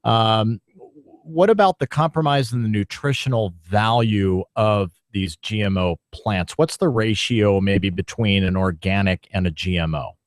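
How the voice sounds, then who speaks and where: A man's voice: fairly low pitch, a little slow, no echo, clean studio sound. A man hosting a morning talk radio interview in a courteous, folksy, conversational manner.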